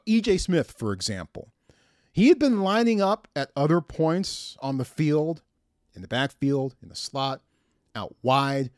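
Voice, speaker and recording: A man's voice: a little high, no room sound, studio quality. A man hosting a sports talk podcast, chatting conversationally and repeating words for emphasis. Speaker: podcast host.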